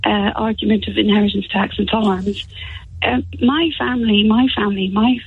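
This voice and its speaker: A woman with an Irish accent calling a radio show, a little hoarse and talking haltingly with many restarts. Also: medium pitch, fairly even intonation, no room sound. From Ireland. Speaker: caller. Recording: tinny phone line.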